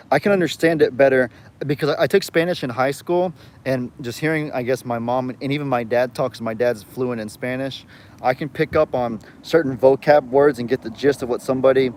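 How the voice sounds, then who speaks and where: A man's voice: mid-range voice, darkish tone, slight background noise. Young man with a light Southern drawl. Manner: rambling, chatty, reads viewer comments aloud. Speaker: livestream host. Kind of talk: casual live chat.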